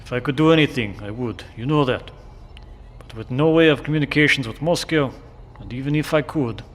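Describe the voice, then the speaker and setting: Audio drama role: lonely Russian male operator, earnest, formal wording, mid pitch, reverberant sound, played by a man. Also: brisk, natural voice. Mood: wistful. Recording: reverberant, faintly noisy radio exchange.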